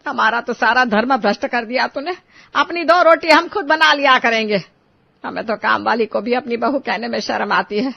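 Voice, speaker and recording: Elderly scolding mother-in-law voiced by a female storyteller, high-pitched, exclamatory and taunting, status-proud, cartoon story, slightly dull audio. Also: moderate pace, gravelly. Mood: bitter, taunting.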